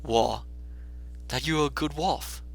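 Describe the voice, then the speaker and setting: A man's voice: on the high side, a little slow, gravelly. A man voicing a kindly, grieving Victorian father and humble clerk, earnest and emotional, in a storybook reading. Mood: earnest, warm.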